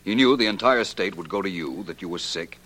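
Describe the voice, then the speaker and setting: An actor playing a shrewd, confident detective in 1940s radio drama style, laying out his deductions crisply and methodically. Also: low voice, fairly quick, a bit bright. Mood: self-assured, explanatory. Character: shrewd, self-assured male amateur detective explaining his deductions.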